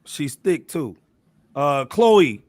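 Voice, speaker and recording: Male host, slightly high-pitched, dry close sound, slangy casual banter, repeats himself for emphasis. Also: big pitch swings, very slow, darkish tone, dry recording. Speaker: podcast host moderating a panel. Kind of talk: animated casual music talk.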